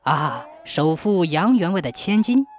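A woman's voice: fairly low pitch, sing-song, measured pace, faint music behind, voice pushed down. A woman voicing a poor, bold and cheeky young man in a folk tale, arguing in a matter-of-fact way.

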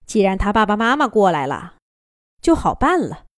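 A woman storyteller reading a children's fairy tale in an expressive, lilting manner. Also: medium pitch, moderate pace, no echo, clean studio sound.

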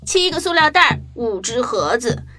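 A cheerful little girl in a children's radio play, with a high, swooping voice. She speaks slowly and expressively over clearly audible background noise. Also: big pitch swings. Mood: earnest. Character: Anna, cheerful little girl fishing by the sea. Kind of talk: children's radio drama acting.

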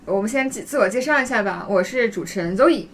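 A woman's voice: on the high side, lively intonation, fast talker, a little hiss or hum. A woman hosting a casual podcast, introducing things in a conversational way. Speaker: podcast host.

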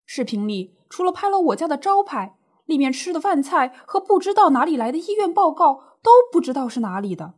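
A woman plays an older small-business auntie in a radio drama, with a high voice that rises and falls widely. She grumbles and exclaims in homely, colloquial speech, plaintive and indignant at being wronged. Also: sing-song, a little fast, hardly any pauses, a little mellow. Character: distressed, indignant older woman running a small snack shop.

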